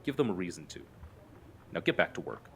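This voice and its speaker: An actor playing a curt police chief who scolds a detective in an audio drama. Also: male voice, low-pitched, very animated, fairly quick, vocal fry, fairly bright tone, a little hiss or hum, exaggerated voice. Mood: stern. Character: stern male police chief, middle-aged boss.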